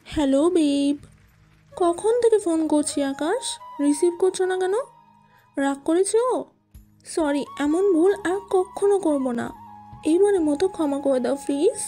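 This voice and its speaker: Woman putting on a very high, pleading girlfriend. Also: expressive, measured pace, pauses often, warm tone, soft background music. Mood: apologetic, coaxing. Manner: sugary, imploring. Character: clingy, pleading young woman on phone. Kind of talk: dramatized romance audio story.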